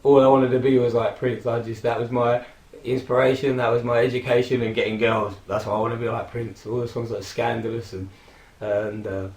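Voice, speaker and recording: Hoarse man reminiscing in an echoey room. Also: medium pitch, level tone, fairly quick, hardly any pauses, darkish tone. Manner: rambling, reminiscing, with frequent laughs and fillers. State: hoarse from a throat infection. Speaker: vlogger. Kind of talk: casual personal tribute monologue.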